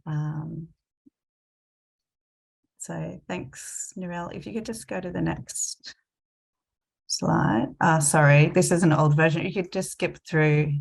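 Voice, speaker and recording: A woman's voice: sits low, fairly even intonation, slow pace, creaky, pauses often, husky. A woman presenting a talk with slides, hesitant with many ums and restarts, a bit sniffly from a cold. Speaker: climate consultant presenting a talk.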